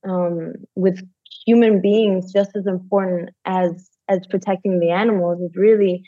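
Mellow-voiced woman on dull remote audio. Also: fairly low pitch, steady tone, unhurried, slightly rough, no room sound. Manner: thoughtful, searching for words. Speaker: nonprofit director. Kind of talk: podcast interview.

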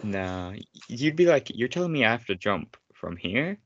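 A man's voice: medium pitch, very animated, moderate pace, fairly bright tone, lo-fi sound, a little hiss or hum. A man co-hosting a casual podcast, rambling and teasing his friend with frequent laughter. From United States. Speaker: podcast co-host. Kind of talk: casual chat.

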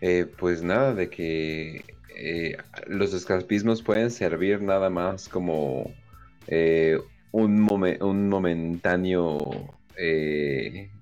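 A man's voice: low-pitched, slow, a little mellow, dull audio, soft background music. A man with a Mexican Spanish accent, co-hosting a casual podcast chat in a laid-back, drawling, crude way. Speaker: podcast co-host.

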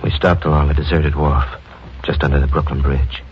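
An actor playing a guilt-ridden young husband in a 1940s radio drama, stammering and rising into panic. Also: male voice, a bass voice, level tone, brisk, heavy background noise. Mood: brooding. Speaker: Tate, the narrator. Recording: lo-fi old radio recording.

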